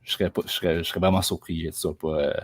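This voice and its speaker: A man's voice: deep voice, level tone, rapid-fire, no echo. A man with a Quebec French accent, speaking casually as a co-host replying to a viewer.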